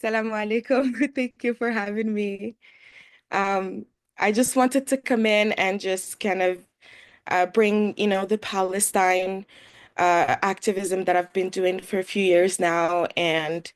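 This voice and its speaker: A woman's voice: mid-range voice, steady tone, measured pace, smooth. A young woman from Morocco giving an earnest, loosely worded guest talk on a video call, with many fillers. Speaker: guest speaker. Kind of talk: webinar talk. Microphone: computer mic on a video call.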